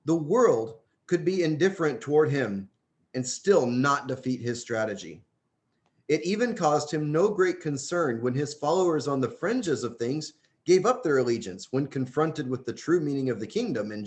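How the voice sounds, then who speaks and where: A man reading a religious book aloud in an earnest, emphatic way, stressing key phrases. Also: on the high side. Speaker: audiobook reader.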